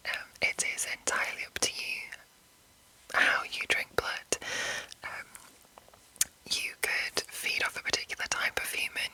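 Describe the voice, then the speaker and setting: Lady whispering asmr style, breathy n bright, just rambling along explaining stuff informally, no rush. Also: poor audio. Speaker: ASMR host.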